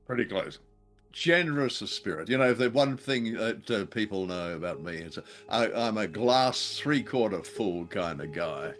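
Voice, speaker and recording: A man's voice: fairly low pitch, lively intonation, moderate pace, faint music behind. An older man co-hosting a casual radio review chat, speaking in an effusive, exclamatory way. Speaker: co-host of an old-time radio review show.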